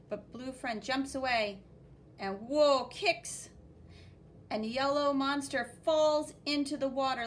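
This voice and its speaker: A woman reading a picture book aloud to children as a teacher, expressive and exclaiming, with spoken sound effects and commentary. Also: fairly high pitch, slight background noise.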